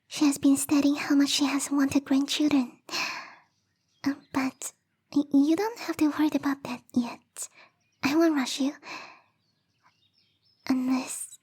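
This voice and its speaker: A woman voicing a bubbly, lovestruck young female snow spirit in a soft, breathy ASMR roleplay whisper, coy and rambling. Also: high voice. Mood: shy, hopeful.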